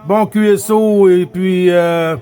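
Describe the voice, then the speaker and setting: Hesitant high-voiced Québécois man. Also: fairly even intonation, slow pace, warm tone, smooth. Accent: Québécois French. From Quebec. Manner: hesitant, frequent filler sounds, friendly sign-offs. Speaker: CB radio operator. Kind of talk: casual radio contact chat.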